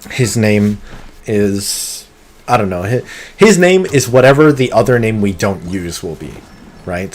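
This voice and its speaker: A man streaming a game live, talking through it in an excitable, competitive way, with sudden gasps and pleading. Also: fairly low pitch. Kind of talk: live gameplay commentary.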